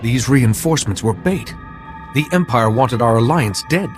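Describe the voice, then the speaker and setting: An actor playing a resolute, composed Jedi leader in a video game, measured and authoritative. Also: male voice, mid-range voice, expressive, over loud music, close to an everyday voice. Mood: stern. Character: resolute, composed male Jedi hero leading a mission. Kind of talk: scripted video game acting.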